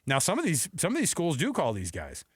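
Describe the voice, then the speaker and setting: Male sports radio host, slightly high voice, dry close sound, casual and conversational. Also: wildly swooping pitch, a little fast, breathy edge, dry recording.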